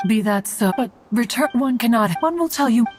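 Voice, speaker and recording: A woman's voice: fairly high pitch, lively intonation, moderate pace, music in the background, lightly acted. A woman playing a proud ancient female mountain immortal in a video game, speaking in a stately, archaic, formal manner. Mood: solemn storytelling. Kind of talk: video game character acting.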